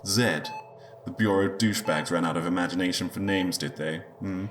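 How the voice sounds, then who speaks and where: A deep-voiced male secret agent of the spaceways, cocky and world-weary. He speaks with deadpan, sardonic wit, like the lone hero of a noir-tinged sci-fi radio drama. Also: normal speed, faint music behind. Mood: grumbling. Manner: wry, deadpan. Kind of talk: noir-style narration and dialogue in sci-fi audio drama.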